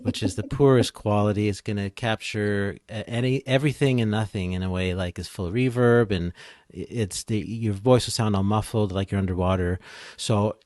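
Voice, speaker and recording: A man with a moderately low voice gives casual explanations as an expert podcast guest. His delivery is plain-spoken and practical, recorded dry with little room sound. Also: steady tone, moderate pace, darkish tone, dry recording.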